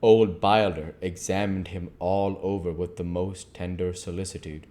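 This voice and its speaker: A man reading a classic novel aloud as an amateur narrator, measured, with the odd stumble. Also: low-pitched, fairly even intonation, warm tone. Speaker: amateur book reader.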